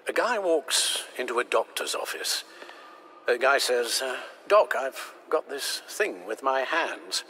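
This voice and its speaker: An actor playing a kindly older father figure in a video game, with a halting, wry way of talking. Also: medium pitch, gravelly, bright, forceful tone, slight background noise, full character voice. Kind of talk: video game dialogue acting.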